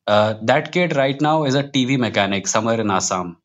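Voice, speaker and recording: A man with an Indian accent speaks in a mid-pitched voice as an interview guest. He is reflective and opinionated, building his points one after another in a conversational way. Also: expressive, fairly quick, highs cut off. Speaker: guest, comic book writer.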